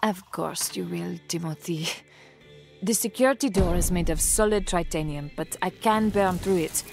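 A self-assured, theatrical accomplice from a game. Her lowish voice is formal and precise, with faint music behind it. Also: normal speed, gravelly. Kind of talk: game cutscene acting.

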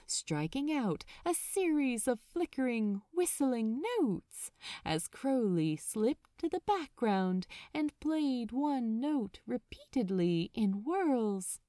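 A woman reading fiction aloud as a narrator, in a dramatic, expressive audiobook style. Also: a little high, no echo, clean studio sound.

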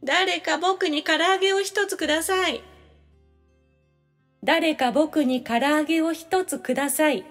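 An eager, food-loving little boy, voiced by a woman, pleads in a very high voice. The delivery is childlike and scripted like an audio drama, with soft music behind it. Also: unhurried, in a large hall. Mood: eager, pleading. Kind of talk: scripted language-lesson dialogue.

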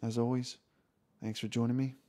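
A man leading a guided meditation in an informal, soothing way, with unhurried phrases and long pauses. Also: mid-range voice, flat delivery, mellow. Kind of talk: guided meditation, yoga nidra body scan.

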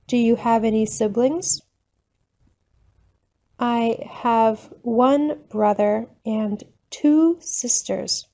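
A woman reads simple practice sentences for learners at a very slow, deliberate pace. Her voice sits a little high but sounds soft and mellow. She says each line slowly, then repeats it at an everyday speed. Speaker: English teacher reading phrases. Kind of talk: slow, clear phrase reading for learners.

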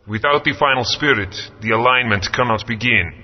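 An actor playing a grave, stern ancient spirit, speaking in a measured way. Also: male voice, medium pitch, natural voice. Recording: dull game audio with long reverb.

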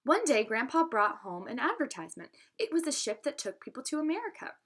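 A woman's voice: high-pitched, very animated, brisk, background noise. A young adult woman reading a storybook aloud expressively, in an instructive manner, as a teacher does for students. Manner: instructive, addresses listeners with questions.

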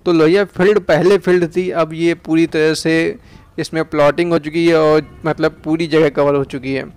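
A man's voice: a little high, little pitch movement, normal speed, a little mellow, clear, resonant tone. A young man from India with an Uttar Pradesh Hindi accent, casually narrating a ride through town streets outdoors as a chatty vlogger. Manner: chatty, tag questions like "है ना".

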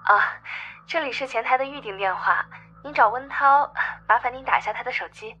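Woman acting young receptionist, bright, courteous, muffled telephone sound, music behind. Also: a little high, fairly quick, husky. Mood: polite. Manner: polite, customer-service formality. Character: polite young female club receptionist on the phone. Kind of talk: audiobook drama acting.

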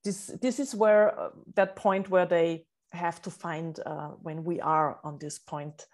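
A woman's voice: lowish voice, fairly even intonation, measured pace, a little mellow. A middle-aged woman whose first language is German, explaining her book coaching earnestly in a podcast interview, with many fillers and restarts.

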